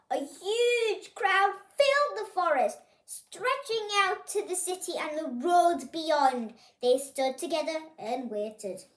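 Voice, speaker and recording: A storyteller reading a picture book aloud for children, expressive and pointing things out to young listeners. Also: sits high, roomy sound. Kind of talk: animated picture-book read-aloud for kids.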